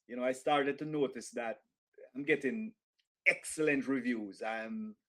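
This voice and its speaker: A middle-aged man with a Jamaican accent, answering interview questions in a measured, reflective way, often pausing with fillers and restarts. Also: mid-range voice. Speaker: interview guest, author.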